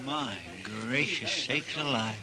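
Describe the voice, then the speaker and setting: An actor playing a naive, awestruck frontier deputy in a 1950s western radio drama, with drawn-out folksy exclamations. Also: male voice, medium pitch, a loud crowd, lightly acted. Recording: noisy old radio recording, distant.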